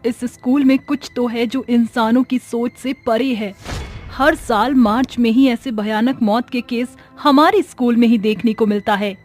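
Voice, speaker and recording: A woman's voice: on the high side, steady tone, unhurried, a little mellow, faint music behind, lightly acted. A woman voicing a brave, devout female schoolteacher in a horror story, emphatic and challenging. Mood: suspicious. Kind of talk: dramatic horror story acting.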